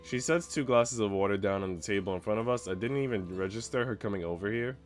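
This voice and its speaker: Man, fairly low pitch, casual streamer talk, story reading, profane slang, faint music. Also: quick pace. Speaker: game streamer. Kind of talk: casual chat mixed with reading visual novel narration aloud.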